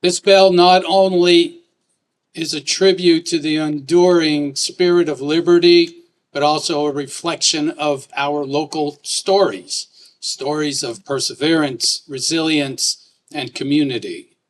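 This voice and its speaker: A man's voice: fairly high pitch, steady tone. A man, a county commissioner, delivering a formal prepared speech outdoors at a courthouse. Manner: formal, measured.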